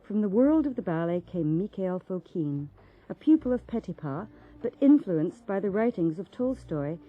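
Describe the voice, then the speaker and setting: A woman giving measured, formal scripted narration for a documentary. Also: lowish voice. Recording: dull lo-fi sound with faint music.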